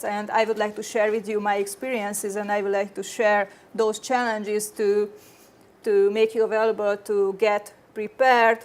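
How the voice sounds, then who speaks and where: A woman from Hungary with a Hungarian accent, presenting a technical talk at a conference in an earnest way, often restarting her phrases. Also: medium pitch. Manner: earnest, frequent restarts and fillers. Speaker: conference speaker.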